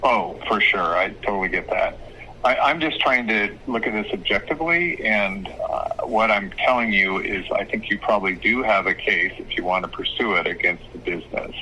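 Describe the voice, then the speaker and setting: A man speaking as an attorney, giving advice on a phone call in a measured, hesitant, explanatory way. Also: fairly low pitch, pushed and bright. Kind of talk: phone consultation, casual advice. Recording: phone call with faint noise.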